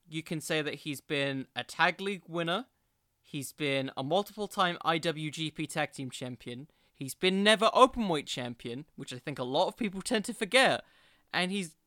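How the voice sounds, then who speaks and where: Podcast host doing casual solo commentary, bright punchy voice, dry sound, kinda self-deprecating. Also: mid-range voice, no echo.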